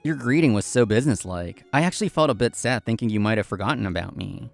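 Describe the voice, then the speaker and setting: A man's voice: medium pitch, quick pace, gravelly, faint music behind, close to an everyday voice. A man playing an earnest, polite young café assistant manager, narrating his own story in scripted first person. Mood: earnest, reflective. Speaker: narrator and lead actor of a manga story video.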